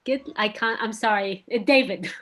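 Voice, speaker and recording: A woman's voice: medium pitch, measured pace, a bit bright. A woman helping to moderate a group video call in a casual, chatty style.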